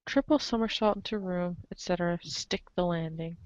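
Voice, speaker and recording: A woman's voice: on the low side, fairly quick, a little mellow, no echo, muffled recording. A woman reading scripted narration aloud in a theatrical, wry way, with casual asides.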